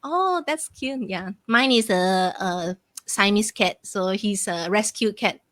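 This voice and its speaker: A young adult woman hosting a casual live-stream interview, talking in a halting way with filler phrases. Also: mid-range voice, a bit bright, no echo, clean studio sound. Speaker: interview host, online business mentor.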